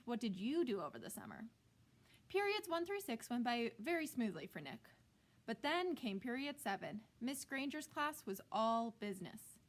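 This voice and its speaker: Higher-voiced woman reading a children's book aloud.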